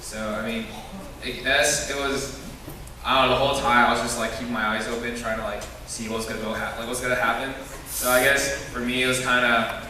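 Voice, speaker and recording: A young man talks through his memories haltingly, leaning hard on filler words. His voice is very bright and sharp. He sounds distant from the microphone in a long-echoing hall with faint background noise. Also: mid-range voice, little pitch movement. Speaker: youth group member sharing a mission trip. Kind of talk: informal testimony to a church group. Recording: echoey hall, distant mic, some noise.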